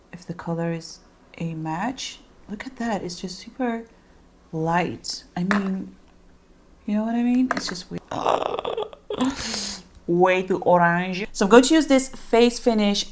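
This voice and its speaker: A woman's voice: medium pitch, a little hiss or hum. A young woman with a Dutch accent, chatting casually and rambling through a makeup tutorial with lots of fillers. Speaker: beauty vlogger.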